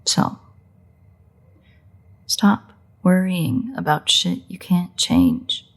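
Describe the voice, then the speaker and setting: A woman playing a sardonic, predatory vampire in an intimate roleplay audio drama, with a husky, breathy voice and a playful, drawling delivery. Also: fairly low pitch, darkish tone, full character voice. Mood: blunt, defiant. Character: teasing, unrepentant female vampire, sardonic and predatory.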